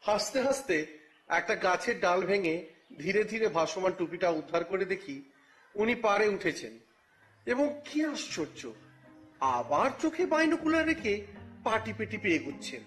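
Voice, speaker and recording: A man narrating a story in the first person, with expressive, theatrical phrasing and a scripted dramatic style. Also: high voice, unhurried, pauses often. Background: music.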